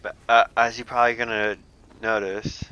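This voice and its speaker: Young man, let's play commentary, bright tone, loose profane chatter. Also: lowish voice, flat delivery, moderate pace, clear, resonant tone, lo-fi sound. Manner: rambling, profane, laughs often. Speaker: gameplay commentator.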